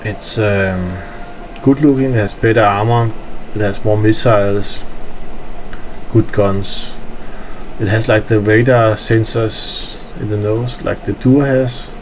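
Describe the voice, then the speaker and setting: A man's voice: fairly low pitch, slow pace, a little hiss or hum, poor audio. A tired man from Denmark with a Danish accent, rambling with hesitant fillers as he tours his in-game hangar. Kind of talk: casual game walkthrough commentary.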